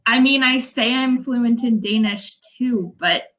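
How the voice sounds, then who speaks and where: Young woman, from Utah, fairly high voice, very bright tone, earnest explaining, casual interview. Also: a little slow. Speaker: English language coach, guest.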